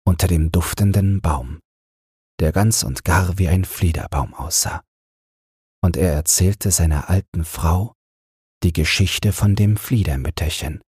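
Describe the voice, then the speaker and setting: Bedtime audiobook narrator, male: slow, calm and soothing, in a close, dry recording. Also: low voice, breathy edge, no room sound.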